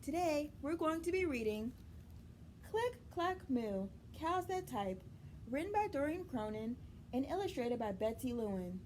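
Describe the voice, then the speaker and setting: A woman with a high voice reads a picture book to young children as a story time host. She takes her time, expressive and deliberate, addressing the listeners directly. There is noticeable noise behind her.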